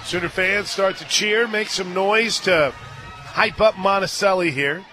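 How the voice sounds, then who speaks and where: A man doing live radio play-by-play commentary of a softball game as the announcer. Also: sits high, a little slow. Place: outdoor softball stadium radio booth. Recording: stadium crowd noise and music behind. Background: crowd and music.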